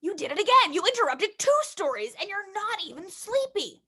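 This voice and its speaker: A woman voicing a sleepy, patient father chicken in a storybook, gently scolding and weary of interruptions. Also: very high voice, big pitch swings, normal speed, heavily acted. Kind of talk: storybook character voice.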